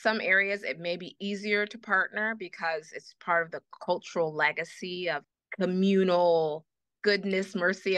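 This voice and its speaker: A woman's voice: fairly low pitch, pushed and bright, dry recording. A woman guest on a podcast, speaking with passion and repeating phrases for emphasis. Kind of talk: earnest advocacy in conversation.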